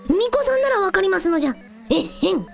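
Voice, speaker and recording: A little dragon prince speaks in a very high, childlike voice. He is proud and earnest, with quaint old-man sentence endings, in radio-drama style. Faint music plays behind. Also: very animated, fast, vocal fry, heavily acted. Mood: proud, eager. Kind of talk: amateur anime-like radio drama acting.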